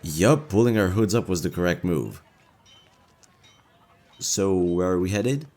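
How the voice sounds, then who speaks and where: A young elven leader voiced by a man with a low-pitched voice, speaking as an affectionate boyfriend in an intimate audio roleplay. He is casual and teasing, yet idealistic at heart. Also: animated, unhurried, darkish tone, faint music behind. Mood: playful, casual. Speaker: voice actor in romantic audio roleplay.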